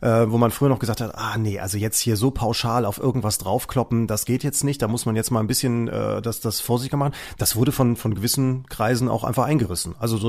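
A man's voice: mid-range voice, a little mellow. A man co-hosting a podcast, talking thoughtfully in a casual discussion, hesitating and repeating words as he searches for the right phrasing. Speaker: podcast co-host.

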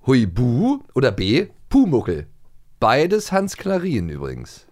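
Very animated middle-aged man chatting. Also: medium pitch, slow pace. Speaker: podcast co-host. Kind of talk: casual joking chat.

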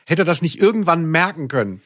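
A male podcast host in his forties with a slightly high voice. He tells anecdotes casually, rambling along with self-deprecating humour. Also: lively intonation, quick pace, a bit bright. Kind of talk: casual humorous anecdotal chat.

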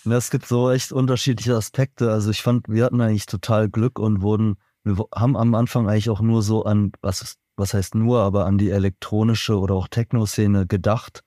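Berlin guy, musician, mellow dark voice, dry close recording, lots of ums and restarts. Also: lowish voice, flat delivery, fairly quick, few pauses, no room sound. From Germany, Berlin. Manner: thoughtful, rambling with many fillers and restarts. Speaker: band member, musician guest. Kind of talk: casual interview answers. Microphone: remote podcast recording.

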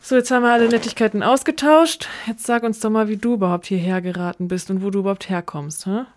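A woman's voice: medium pitch, steady tone, fast talker. A woman co-hosting a podcast, speaking scripted introductions and playful audio play dialogue. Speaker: podcast co-host. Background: music.

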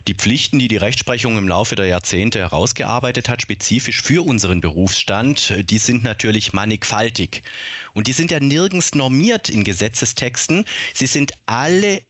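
A man from southern Germany with a light, softened Swabian accent, a podcast guest explaining legal points in a measured, lecturing way. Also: medium pitch, expressive, no echo. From Upper Swabia, southern Germany. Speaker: guest, insurance broker trainer.

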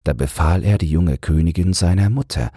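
A man reads a bedtime fairy tale in a calm, soothing manner. His voice is deep and strongly breathy, the pace slow and restful, and the sound dry. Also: dry recording. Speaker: audiobook narrator. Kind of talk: scripted fairy-tale narration for falling asleep.

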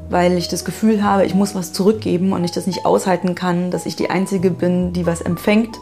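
A woman's voice: on the low side, steady tone, fairly quick, creaky, mellow, soft background music. A woman hosting a homemade audio piece, talking casually and reflectively.

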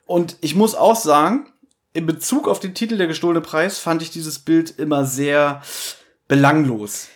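Higher-pitched man chatting as podcast host. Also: normal speed. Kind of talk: casual banter about audio dramas.

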